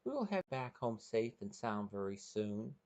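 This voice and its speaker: A man voicing a tender young woman in a story, speaking in a soft, sweet, coaxing way. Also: fairly low pitch, sing-song, moderate pace. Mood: soothing. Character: pleading, tender young woman attached to her pet.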